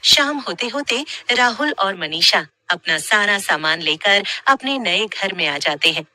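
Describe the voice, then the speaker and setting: A woman's voice: medium pitch, expressive, unhurried, sharp tone, dry recording. A woman reading scripted horror story narration as the storyteller.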